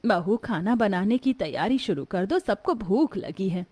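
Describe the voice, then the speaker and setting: A woman's voice: medium pitch, animated, measured pace, warm tone. A woman playing a weary, resigned older mother-in-law in a poor family's story. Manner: resigned, homely, instructing.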